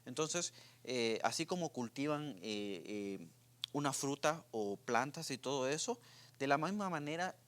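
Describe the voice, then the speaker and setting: Brief: man from Guatemala, mid pitch, hesitant conversational podcast host on faith topics. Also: animated, unhurried, fairly bright tone. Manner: hesitant, many fillers and restarts. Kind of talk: casual faith discussion.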